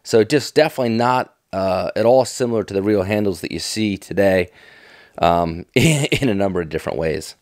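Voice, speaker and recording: Low-voiced folksy American man. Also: a little fast, darkish tone, dry recording, clean studio sound. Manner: folksy, enthusiastic, nostalgic. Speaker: fishing tackle vlogger. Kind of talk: casual off-the-cuff catalog commentary.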